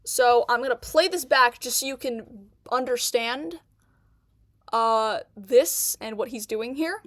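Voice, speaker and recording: A woman's voice: fairly high pitch, takes it slowly, fairly bright tone, dry recording. A woman streamer who stutters, reacting with indignant commentary and often repeating herself.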